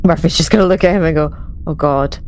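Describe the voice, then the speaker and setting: A woman's voice: low voice, fairly even intonation, fast talker, a little hiss or hum. A woman improvising in-character lines in a tabletop game, speaking firmly and matter-of-factly.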